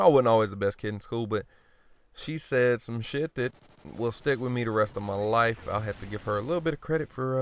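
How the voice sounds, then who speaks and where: A man giving casual gameplay commentary, blunt and profane, rambling and joking at himself, often clearing his throat. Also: mid-range voice. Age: adult. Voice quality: smooth voice. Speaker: gaming commentator.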